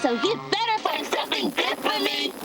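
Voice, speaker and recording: Casting: bossy, menacing little girl for a cartoon horror game; high, very animated, childlike. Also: normal speed, over loud music, heavily acted. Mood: petulant, threatening. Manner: demanding, exaggerated childlike delivery. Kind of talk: video game cartoon character acting.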